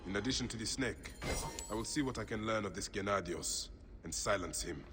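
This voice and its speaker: Deep, solemn avenging man, played by an actor. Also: fairly quick, a bit bright, soft background music, lightly acted. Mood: resolute. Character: grim, devoted male avenger of ancient Egypt. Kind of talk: dramatic video game cutscene acting. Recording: long echoing reverb, clear background noise.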